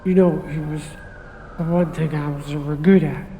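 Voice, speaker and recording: An actor playing a gentle, simple homeless man who is a suspect, speaking in a soft, halting, hesitant way in an audio drama. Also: on the high side, steady tone, creaky, big-room sound, a little hiss or hum. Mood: wistful.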